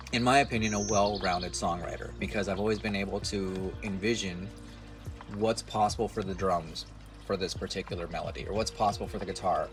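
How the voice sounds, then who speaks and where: A man in his forties talks to the camera in a loose, rambling way, poking fun at himself. His voice sits very low and sounds nasal. Music plays clearly behind him. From United States. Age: 40s. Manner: rambling, self-deprecating, chatty. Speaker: musician and content creator. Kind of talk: casual talk to camera.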